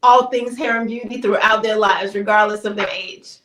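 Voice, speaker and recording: A woman's voice: medium pitch, fairly even intonation, moderate pace, fairly bright tone. A woman in her fifties from Chicago, a guest chatting casually about her life. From Chicago, USA. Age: 50s. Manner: chatty, loose storytelling. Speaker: guest, hairstylist. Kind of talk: casual interview. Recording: echoey room.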